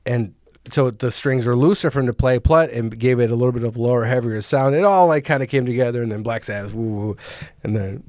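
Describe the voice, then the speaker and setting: A man's voice: medium pitch, a little fast, darkish tone, no room sound. A man hosts a casual podcast, rambling through stories and jokes in a mid-range voice. He drifts into long tangents about music. His mic sounds dry and close. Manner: rambling, jokey, nerds out on music. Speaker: podcast host.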